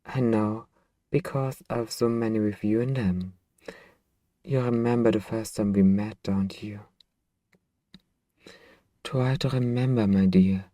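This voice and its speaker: Casting: male actor as possessive vampire master for ASMR roleplay; very dark, mellow tone, unhurried, hypnotic coaxing. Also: on the low side, fairly even intonation, dry recording, lightly acted. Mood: gentle, soothing. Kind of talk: ASMR roleplay, slow intimate delivery.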